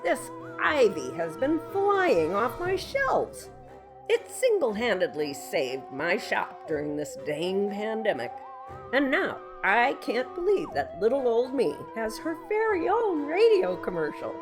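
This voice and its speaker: A woman playing a kooky, folksy, alien-obsessed shopkeeper who pitches theatrically in a parody local radio commercial. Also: big pitch swings, a little slow. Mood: folksy, proud. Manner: theatrical, folksy, dramatic salesmanship. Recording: music bed under the ad.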